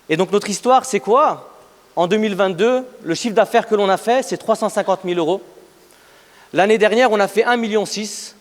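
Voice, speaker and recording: A man in his thirties giving a motivational startup pitch on stage, emphatic, repeating phrases for effect as he tells a story. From Afghanistan. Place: large event hall, on stage. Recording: echoey hall with long reverb.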